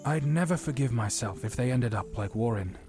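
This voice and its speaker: A man playing a dutiful, cautious military commander in a fantasy audio drama, speaking earnestly and gravely. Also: mid-range voice, lively intonation, brisk, darkish tone, soft background music, close to an everyday voice.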